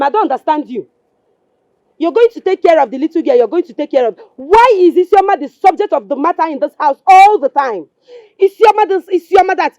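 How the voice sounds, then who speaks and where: A woman's voice: sits high, a little mellow, no echo. A woman playing a quarrelsome, spiteful village wife with a Nigerian accent, scolding and repeating herself insistently in film drama style. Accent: Nigerian Igbo-English. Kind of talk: Nollywood film drama acting.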